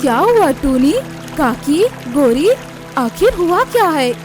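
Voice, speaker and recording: Someone doing a righteous young husband bird, stern telling-off, highish cartoon voice, music in back. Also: sing-song, slow pace, full character voice. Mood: alarmed. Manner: stern, reproachful.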